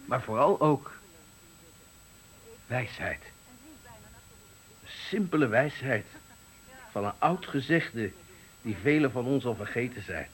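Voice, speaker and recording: An actor playing an embittered, eloquent factory worker of sixty-five, giving a dramatic, deliberate farewell speech in a radio play. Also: male voice, medium pitch, expressive, a bit bright, poor audio. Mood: bitter, measured. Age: 60s. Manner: oratorical, dramatic, deliberate phrasing. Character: embittered eloquent 65-year-old male factory worker at his retirement.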